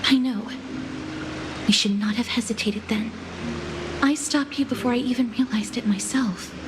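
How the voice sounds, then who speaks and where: An actress playing a gentle, solemn young noblewoman in a game, polite, measured and softly reassuring. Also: fairly high pitch, background noise, close to an everyday voice. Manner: polite, measured, soft-spoken. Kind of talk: dramatic video game dialogue.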